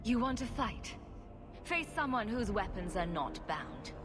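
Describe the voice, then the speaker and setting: A woman's voice: mid-range voice, sing-song, a little slow. A woman playing a bold, wry, sardonic adventurer heroine in a video game, confident and challenging. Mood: defiant. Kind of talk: scripted video game acting. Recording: long reverb with game music.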